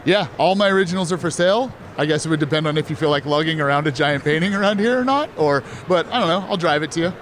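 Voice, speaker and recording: A man who is an artist, giving relaxed, joking answers in a casual interview. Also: on the high side, fast, hardly any pauses, slight background noise. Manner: joking, laid-back, laughs often. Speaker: artist and gallery exhibitor.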